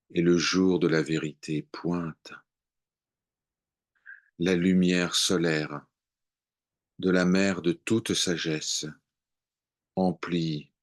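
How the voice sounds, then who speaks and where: A man reciting devotional poetry in a measured, contemplative way, with long pauses between short phrases. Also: low-pitched. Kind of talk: slow devotional poem recitation.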